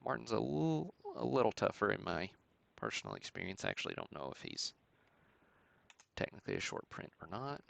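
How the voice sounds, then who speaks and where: A man hosting a live stream, giving matter-of-fact commentary in a halting way with pauses. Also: lowish voice, huge pitch swings, creaky, fairly bright tone. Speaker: host of a sports card break stream. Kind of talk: live show-and-tell commentary on vintage cards.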